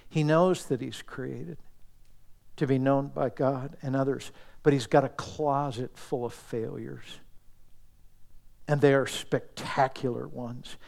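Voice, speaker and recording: Gravelly-voiced man preaching earnestly. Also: mid-range voice. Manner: earnest, intimate preaching with soft dips and whispered asides. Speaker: pastor. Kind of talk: sermon.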